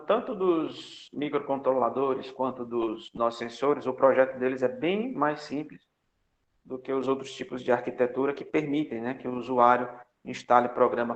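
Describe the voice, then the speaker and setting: A male computer architecture lecturer, teaching an online class in a chatty style, full of fillers and tag questions. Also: medium pitch. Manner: jokey asides. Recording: echoey, dull lo-fi lecture audio.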